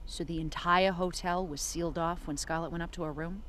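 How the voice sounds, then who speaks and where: An actress playing a blunt, wry police detective in an audio drama, with a curt, commanding manner. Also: female voice, lowish voice, steady tone, fairly quick, natural voice. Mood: urgent, commanding. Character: tough, blunt woman police detective with gallows humor. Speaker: voice actor. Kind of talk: noir audio drama acting. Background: prominent background music.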